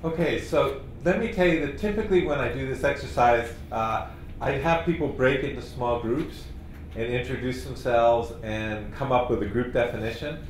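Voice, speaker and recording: A man leading a training workshop, explaining in a relaxed, conversational way. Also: medium pitch, normal speed. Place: meeting room. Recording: very echoey room, faint noise.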